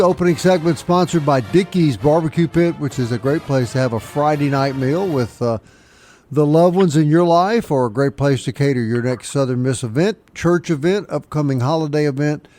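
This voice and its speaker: A man's voice: a little high, moderate pace, dark tone. A man hosting a sports radio show from a studio in a casual, bantering way. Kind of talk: casual live radio talk.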